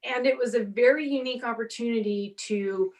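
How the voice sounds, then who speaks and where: A woman in her forties whose first language is Portuguese, telling an animated story on a convention panel and acting out the exchanges she quotes. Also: fairly high pitch, a little fast. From half Brazilian, grew up speaking Portuguese. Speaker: panelist author. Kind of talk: casual panel talk.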